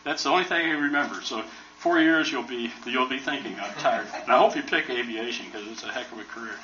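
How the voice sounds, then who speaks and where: An older American man spins folksy, joking stories in a bright, forceful voice. He sounds far from the mic in a roomy museum hall, and the recording is dull and muffled. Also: medium pitch, fast, a little hiss or hum. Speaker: retired army helicopter pilot speaker. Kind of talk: casual museum talk with Q&A.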